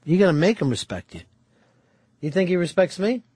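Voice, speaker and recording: A male talk-radio host with a slightly higher voice, blunt and profane in his ribbing. His voice sounds close and dry in the studio, with no room echo. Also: big pitch swings, fairly quick, mellow, husky. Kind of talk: live talk radio banter.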